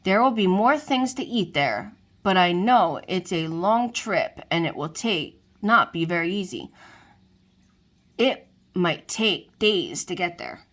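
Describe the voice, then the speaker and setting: A woman's voice: on the low side, animated, takes it slowly, a bit bright, full character voice. A woman doing Leo, a wise polite lion. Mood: thoughtful. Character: wise polite male lion, a bit grumpy.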